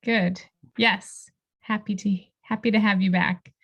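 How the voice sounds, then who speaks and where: Female podcast co-host, conversational delivery, medium pitch. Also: fairly even intonation, unhurried, breathy edge, highs cut off.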